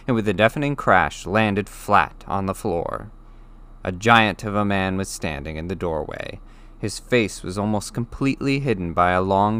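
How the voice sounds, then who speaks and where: A man reading a children's novel aloud as an audiobook, in expressive scripted narration. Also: on the low side. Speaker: audiobook reader.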